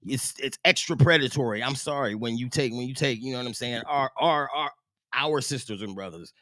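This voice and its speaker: A man hosting a casual podcast, talking loosely and profanely with lots of restarts and animated tangents. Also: medium pitch, fairly quick, no room sound. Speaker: podcast host. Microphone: studio podcast mic.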